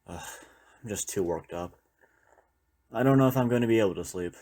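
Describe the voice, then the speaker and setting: A man's voice: on the low side, fairly quick, dark tone. A man playing a weary former doctor surviving a zombie apocalypse, talking in a reflective, conversational way to a trusted partner in an intimate audio roleplay. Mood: restless. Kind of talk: intimate audio roleplay, one-sided dialogue.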